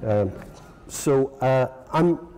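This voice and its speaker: A man's voice: medium pitch, very slow, dark tone, clean tone. A middle-aged man with a strong Northern Irish accent, giving a conference lecture at a lectern with many ums and uhs. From Northern Ireland. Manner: hesitant, many ums and uhs, self-correcting. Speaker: philosophy professor giving a lecture. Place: large conference hall. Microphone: lectern microphone. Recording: long hall reverb.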